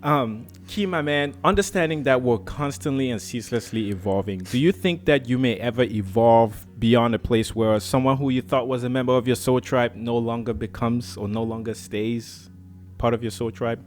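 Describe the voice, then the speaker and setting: A man from Cameroon hosting a podcast, telling a personal story in a lively, casual way and acting out the dialogue. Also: normal speed, soft background music. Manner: animated, acts out dialogue with swings in pitch. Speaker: podcast host.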